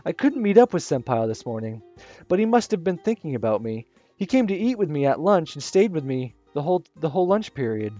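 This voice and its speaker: Man narrating as a shy anxious schoolgirl, soft hesitant delivery, lively pitch, dry muffled sound, soft music. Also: a little fast. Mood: wistful. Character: shy one-eyed teenage girl, anxious. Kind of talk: first-person visual novel narration.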